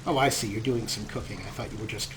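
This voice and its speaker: A man's voice: lowish voice, steady tone, fast talker, noisy background. A man hosting a let's play with wry, amused, casual commentary.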